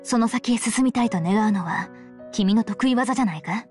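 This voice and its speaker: Actress as young female armoured commander, composed, formal, authoritative, measured delivery, anime game, background music. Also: fairly high pitch, a little mellow, close to an everyday voice. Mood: calm, resolute. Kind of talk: Japanese visual novel acting and narration.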